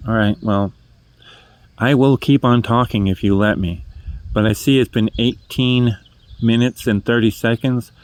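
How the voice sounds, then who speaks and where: A man's voice: lowish voice, clear, resonant tone, no echo. A man over fifty from New Hampshire with a New England accent, rambling through his first book vlog, self-deprecating and correcting himself as he goes. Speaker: first-time book vlogger. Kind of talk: casual rambling monologue. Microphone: phone held in hand.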